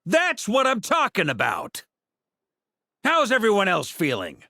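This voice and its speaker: An actor playing a relaxed, wry knight captain in a video game, encouraging his allies. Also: high-pitched, measured pace, creaky, no room sound, clean studio sound, heavily acted. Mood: upbeat.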